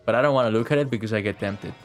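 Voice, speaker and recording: A man's voice: mid-range voice, racing. A young man doing casual livestream commentary over a video game, rambling and thinking aloud. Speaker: game streamer. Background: game music.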